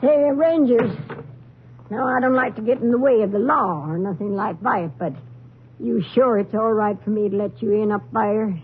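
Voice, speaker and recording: A woman's voice: mid-range voice, moderate pace, natural voice. A woman playing a folksy, nosy older landlady with a rural Southern accent, grumbling and rambling in old-time radio drama style. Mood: wary, complaining. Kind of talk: 1940s-50s radio drama acting. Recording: muffled, noisy old radio recording.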